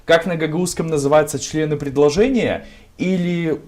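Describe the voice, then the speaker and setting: A young man from Gagauzia, a civic activist, answering interview questions in a measured, argumentative way with lots of filler words. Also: a little high, a little mellow. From Gagauzia, Moldova.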